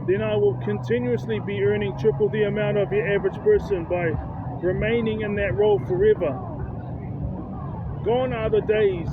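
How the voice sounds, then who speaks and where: A man's voice: high voice, steady tone, moderate pace. A man in his late thirties with a New Zealand accent, giving an earnest, personal motivational monologue and often repeating or restarting phrases. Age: 30s. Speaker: self-help vlogger. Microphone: phone held close. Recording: tinny phone audio, loud street traffic. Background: cars and street noise.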